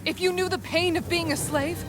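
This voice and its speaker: Game dub: compassionate young heroine, very high pleading register, score underneath. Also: female voice, fairly even intonation, brisk, over music. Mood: distressed. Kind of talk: emotional English game dub acting.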